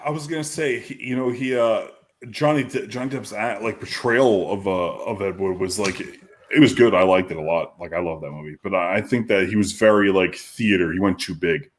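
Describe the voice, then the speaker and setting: A man co-hosting a podcast in casual chat, swearing heavily and restarting his sentences. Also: fairly low pitch. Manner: heavy swearing, halting restarts. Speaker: podcast co-host.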